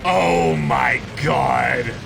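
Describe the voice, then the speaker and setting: A man's voice: medium pitch, extremely slow, creaky, background noise. A man giving casual, exclamation-heavy live reaction commentary to an anime episode, swearing freely. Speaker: reaction show host. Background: show soundtrack music.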